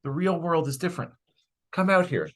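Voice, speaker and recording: A man's voice: a little high, fairly quick. A man co-hosting a podcast in casual comedic chat, blunt, profane and joking, acting out bits of dialogue as he tells stories. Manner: animated storytelling with acted-out dialogue. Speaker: podcast co-host.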